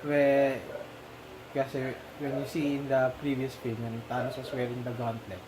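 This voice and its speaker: A man's voice: mid-range voice, flat delivery, normal speed, background noise. A man with a Filipino accent chatting casually about a film, hesitant, full of fillers and restarts. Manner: hesitant, many fillers, mixes languages. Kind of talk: casual film discussion chat.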